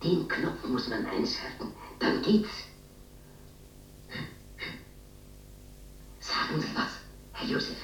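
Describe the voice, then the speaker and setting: A woman's voice: low-pitched, very animated, a little slow, distant mic, soft background music. An actress playing a lonely, coaxing older mother in a radio play. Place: large echoing empty hall. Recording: muffled, very echoey, noisy radio recording.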